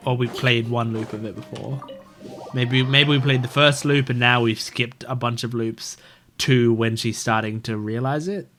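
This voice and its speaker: Gamer doing lets play commentary, middle pitch, rambly thinking out loud, faint music behind. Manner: reflective, thinking aloud, frequent "like". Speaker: gamer reacting on a let's play channel. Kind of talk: casual gameplay commentary.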